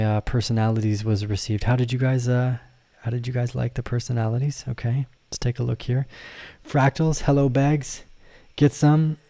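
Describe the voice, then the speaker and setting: A man's voice: mid-range voice, vocal fry, warm tone, dry recording. Laid-back man rambling over trading charts. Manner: rambling, self-correcting, laughs often; addresses viewers and reads their comments. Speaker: crypto trading channel host. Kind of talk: casual livestream chart analysis.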